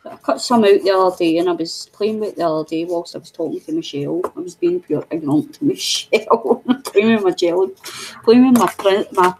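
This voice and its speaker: A woman's voice: lowish voice, a little fast, darkish tone, poor audio. A woman from New Zealand in casual, rambling craft chat, full of fillers. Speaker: craft channel co-host.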